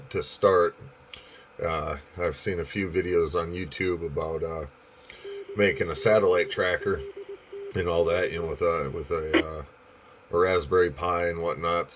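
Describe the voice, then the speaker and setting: Male ham radio hobbyist, deep voice, rambling casual delivery, slightly muffled audio. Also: slight background noise. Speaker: amateur radio operator.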